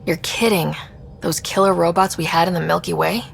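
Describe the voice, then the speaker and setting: A woman's voice: fairly low pitch, fairly even intonation, moderate pace, vocal fry, slight background noise. A woman playing an inquisitive young space explorer in a video game, speaking in a direct, casual, questioning way. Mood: curious. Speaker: player protagonist. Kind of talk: scripted video game dialogue.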